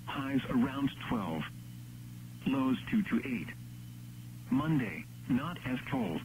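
A synthetic male announcer reading scripted weather bulletins in a measured, impersonal text-to-speech delivery. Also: medium pitch, vocal fry, a little mellow. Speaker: automated weather radio announcer. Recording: noisy radio broadcast with hum.

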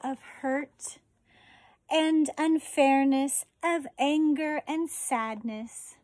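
An American woman in her forties, a teacher reading a children's picture book aloud outdoors with deliberate, expressive phrasing. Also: high-pitched, no room sound. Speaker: elementary school teacher reading a story. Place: outdoors on a residential deck.

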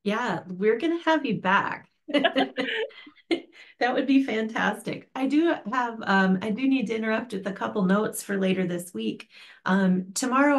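This older woman hosts an online class over a video call, her voice carrying a gravelly edge and an even middle pitch. She reads out notes for the coming week in a friendly, chatty way from a home office. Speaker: program host. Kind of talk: online class wrap-up and announcements.

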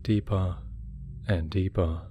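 A man guiding a hypnosis meditation in a soothing, measured way with deliberate pauses. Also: deep voice, steady tone, mellow, highs cut off, slight background noise. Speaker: hypnotherapy guide. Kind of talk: guided meditation, hypnosis narration. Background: relaxation music.

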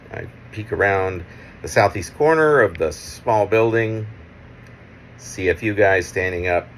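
A middle-aged man reading his own poem aloud in halting, measured pieces, with casual asides. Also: low-pitched, creaky, a bit bright, slight background noise. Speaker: poet reading own work. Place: small room at home, indoors. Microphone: phone at arm's length.

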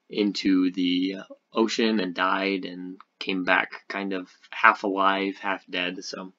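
Guy with a lowish voice talking through game stuff off the cuff, bit halting, kinda muffled lo-fi mic. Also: fairly bright tone. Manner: halting, thinking aloud. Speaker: video host. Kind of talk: casual unscripted gaming commentary.

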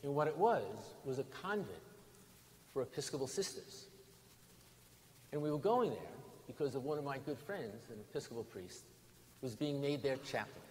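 An older man with an American accent delivers a sermon as a bishop, telling stories along the way. He phrases things deliberately, pausing and repeating for emphasis. His mid-pitched voice rings through a large church with long reverb and faint background noise. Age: 60s or older. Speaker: bishop preaching. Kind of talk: sermon with storytelling. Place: large stone church interior, at lectern.

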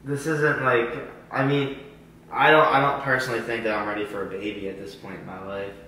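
Man, 22, bright tone, halting and rambling; recorded far off on a hidden camera in a very echoey room. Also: medium pitch, little pitch movement. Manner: hesitant, rambling, many "like"s and restarts. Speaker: vlogger's boyfriend. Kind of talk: casual chat. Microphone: hidden camera across the room.

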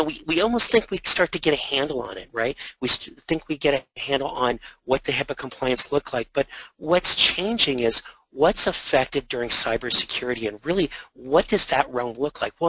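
Hoarse man presenting a webinar. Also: medium pitch, pushed and bright, dry recording, poor audio. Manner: conversational, repeats words, many fillers. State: hoarse from a sore throat.

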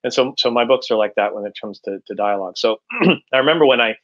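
A middle-aged man answering interview questions as an author, halting and repeating words as he explains, on a video call. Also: fairly low pitch. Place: indoors at home, room with bookshelves, on a video call. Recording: slightly dull video-call audio.

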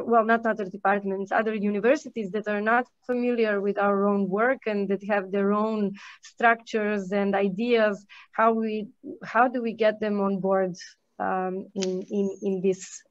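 A woman moderating an online panel discussion, speaking haltingly with frequent fillers over a video call. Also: medium pitch, flat delivery, no echo. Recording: dry dull video call audio.

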